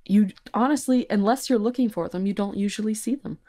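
A woman's voice: mid-range voice, brisk, dark tone. A woman speaking as a podcast guest, explaining things in casual, plain conversational talk. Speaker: podcast guest, insect research technician.

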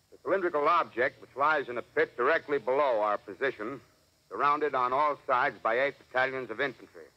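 An actor playing an army captain reporting from the field in a clipped military manner, in 1930s radio drama style. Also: male voice, medium pitch, pushed and bright, close to an everyday voice. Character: army captain observing battlefield, confident then uneasy. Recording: dull vintage 1938 radio recording.

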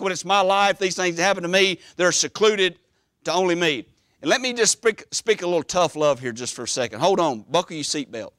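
A middle-aged man preaching an emphatic, animated church sermon, repeating phrases and pausing for weight. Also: sits high, no echo, clean studio sound. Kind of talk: Baptist sermon. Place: church sanctuary, preaching from the platform.

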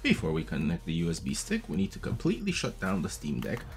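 A man in his thirties casually walking viewers through a device setup step by step, chatty and patient, recorded at a desk with a boom mic. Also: huge pitch swings, fast, over loud music. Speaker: tech video host. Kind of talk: casual tutorial walkthrough. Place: small room at a desk. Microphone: studio mic on a boom arm, wearing headphones.

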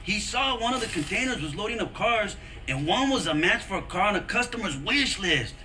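A man playing an excitable, high-strung, slangy car thief in a video game, with a melodramatic manner. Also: a little fast, slight background noise. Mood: eager, hurried. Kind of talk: video game cutscene acting.

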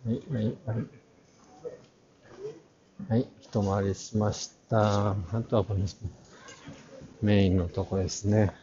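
Low-voiced young man, leisurely, in a crowd. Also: little pitch movement, slow pace, pauses often. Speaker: vlogger. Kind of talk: casual walking commentary. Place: large busy art fair hall, indoors. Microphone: wide-angle camera held at arm's length. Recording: dull lo-fi sound, busy crowd. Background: crowd chatter.

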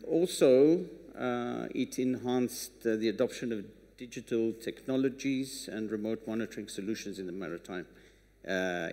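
Older male panelist, halting prepared delivery, creaky low voice in a reverberant hall. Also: a little mellow. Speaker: conference panelist. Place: on stage in a conference hall. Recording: echoey conference hall.

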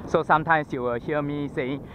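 A man's voice: fairly high pitch, fairly even intonation, normal speed, fairly bright tone. A Singaporean man with a Singaporean English accent, chatting casually and thinking aloud with fillers as he rides a scooter outdoors, a little out of breath. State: panting from exertion. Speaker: vlogger. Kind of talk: casual chat while riding. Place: outdoors on a city roadside pavement. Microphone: head-mounted action camera mic. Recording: lo-fi action camera audio with street traffic. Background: passing traffic.

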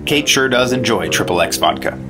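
A man's voice: lowish voice, expressive, brisk, lightly acted. A man playing an earnest 1950s FBI agent. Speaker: Agent Cooper. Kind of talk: 1950s radio drama acting. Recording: music score underneath.

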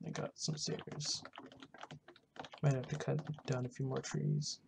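Super croaky gamer mumbling to themself while playing, halting, noisy mic w keyboard clicks. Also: mid-range voice, flat delivery. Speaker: gaming video host. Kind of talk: casual in-character Minecraft roleplay commentary. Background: background noise, keyboard typing and clicking.

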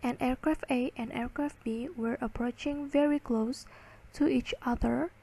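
A woman giving a slide lecture, reading and explaining in halting, word-by-word phrases. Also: high-pitched, steady tone, vocal fry, dark tone, slightly rough, poor audio.

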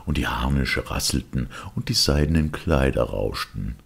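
Very deep, gravelly male storyteller. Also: lively intonation, moderate pace. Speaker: audiobook reader. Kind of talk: scripted fairy-tale narration.

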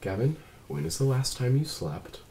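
A man playing a composed android police detective at a script read, formal, measured and precise. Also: medium pitch, expressive, dark tone, close to an everyday voice. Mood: quietly concerned. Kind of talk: table read of a film script. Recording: some background room noise.

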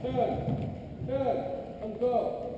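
A man with a high voice for his sex coaches riders in a blunt, urging way. His informal instructions bounce around a big echoey arena and compete with very loud noise on a rider's camera. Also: fairly even intonation, drawn-out words. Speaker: show jumping coach. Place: large indoor riding arena. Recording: echoey noisy indoor arena.

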